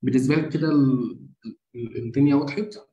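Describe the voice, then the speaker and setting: An Egyptian man leads a live marketing coaching session and rushes through his explanation at great speed. He walks through each step by asking himself questions and answering them. His voice bounces around a noticeably echoey room. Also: medium pitch, warm tone, muffled recording. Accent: Egyptian Arabic. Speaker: marketing mentor.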